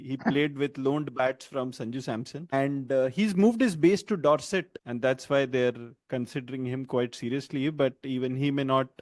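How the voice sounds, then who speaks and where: A man's voice: mid-range voice, a little mellow, no room sound. A man co-hosting a podcast in casual chat, talking loosely and laughing often between points. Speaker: podcast co-host.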